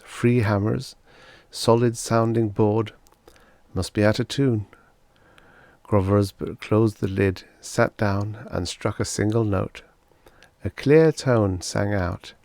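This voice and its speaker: A man's voice: lowish voice, vocal fry, darkish tone, no room sound. A man reading a scripted short story aloud as an audiobook narrator.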